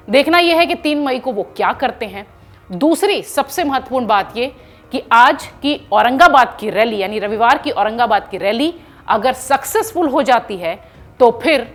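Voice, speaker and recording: Lady doing news explainer narration, highish voice, emphatic, bit of music under it. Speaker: news presenter.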